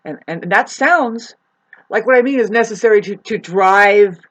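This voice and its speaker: A woman with a husky voice talking casually in a meandering, halting monologue, often trailing off mid-thought. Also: mid-range voice, fairly bright tone. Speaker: vlogger. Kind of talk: rambling spoken monologue, casual chat.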